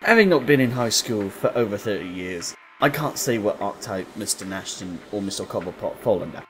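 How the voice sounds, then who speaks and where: A man playing an older butler in an audio drama, formal, measured and deferential as he gives patient advice. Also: on the low side, big pitch swings, faint music behind. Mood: reflective, advising. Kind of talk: amateur audio drama acting.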